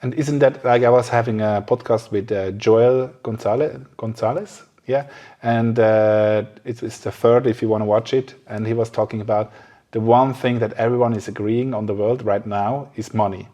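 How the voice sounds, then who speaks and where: Halting man hosting a casual podcast. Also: mid-range voice, fairly even intonation, dark tone. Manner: halting, searching for words. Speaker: podcast host. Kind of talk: casual conversational interview.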